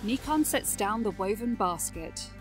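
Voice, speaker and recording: A woman's voice: mid-range voice, animated, a little slow, soft background music, lightly acted. A woman acting as storybook narrator of a scripted fantasy audio drama. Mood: narrating.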